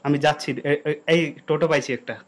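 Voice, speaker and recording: A man's voice: a little high, fairly even intonation, quick pace. A young man talking casually and insistently, as a prankster on a phone call. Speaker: prank caller and video host.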